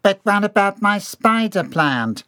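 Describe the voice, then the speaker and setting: A man puts on a clueless, whining employee for an audiobook, lifting his pitch well up. He sounds hesitant and confused, all feigned innocence, with lively swings in tone. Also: unhurried, no echo, clean studio sound. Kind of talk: dramatised audiobook dialogue.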